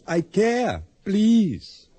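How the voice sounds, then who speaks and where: Guarded storekeeper, expressive pitch. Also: male voice, very slow, husky, no room sound, heavily acted. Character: lonely, guarded male island storekeeper telling his story. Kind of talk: radio drama acting and first-person narration.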